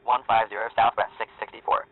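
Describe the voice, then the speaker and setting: A man voicing an airline pilot who reads back air traffic clearances in clipped radio phrasing. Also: on the low side, little pitch movement, dry recording, natural voice. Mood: routine. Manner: quick clipped radio readbacks. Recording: narrow radio band.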